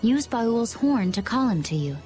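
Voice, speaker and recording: A woman playing a composed, enigmatic young warrior in an anime-style video game, with measured delivery. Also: fairly high pitch, very animated, mellow, soft background music. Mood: calm, matter-of-fact.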